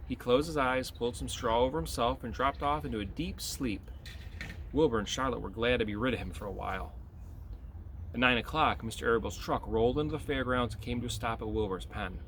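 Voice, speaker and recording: A man reading a children's story aloud in expressive narration. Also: mid-range voice, a little fast, a little hiss or hum.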